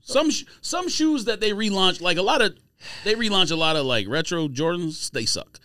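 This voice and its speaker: A man's voice: high-pitched, lively intonation, moderate pace, bright, forceful tone, no room sound, studio quality. A man co-hosting a casual podcast, giving blunt, slangy opinions. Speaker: podcast co-host.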